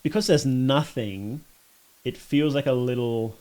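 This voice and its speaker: A man with a mid-pitched voice gives casual livestream commentary as host. He thinks aloud and addresses his viewers informally, swearing mildly now and then. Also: unhurried, a little mellow. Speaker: livestream host reacting to and judging short films.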